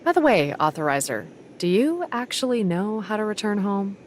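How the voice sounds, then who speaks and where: A woman's voice: medium pitch, big pitch swings, normal speed, a little hiss or hum, close to an everyday voice. A woman voicing a composed, wry young pirate in an anime game, with a dry manner.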